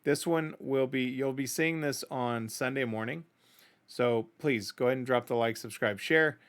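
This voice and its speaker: A middle-aged man hosts a music reaction show in a bright, forceful voice. He talks casually and offers his opinions in a conversational way, often checking in with a quick tag question. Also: medium pitch. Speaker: host of a music reaction channel.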